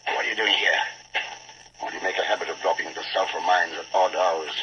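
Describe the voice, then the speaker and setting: An actor playing a brisk, wry investigator hero in a 1940s radio drama, clipped and businesslike. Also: male voice, deep voice, steady tone, background noise, close to an everyday voice. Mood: suspicious. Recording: muffled old radio with music.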